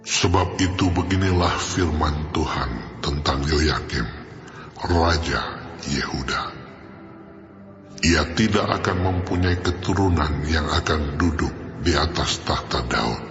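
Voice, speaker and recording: An actor playing the voice of God in an audio Bible drama, speaking slowly and gravely as he pronounces judgment. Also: exaggerated voice. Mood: solemn. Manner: slow, grave, booming proclamation. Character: the voice of God, solemn and commanding. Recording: echoey reverb hall, dull sound over music.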